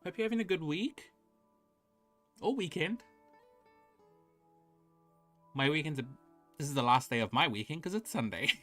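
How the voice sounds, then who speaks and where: A man's voice: fairly high pitch, animated, brisk, sharp tone, faint music behind. A man streaming a game, giving casual live commentary and chatting to viewers in a friendly, welcoming way.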